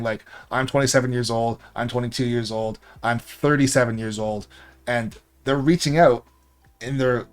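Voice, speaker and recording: A man, a content creator and coach, talking casually to his viewers in a rambling monologue full of fillers. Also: medium pitch. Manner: conversational, rambling with frequent fillers.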